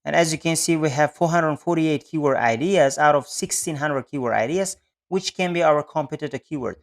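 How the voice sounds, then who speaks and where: Higher-pitched man narrating a tutorial. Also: brisk. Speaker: online advertising tutorial presenter. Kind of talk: step-by-step screen-share tutorial narration. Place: voice-over on a screen recording.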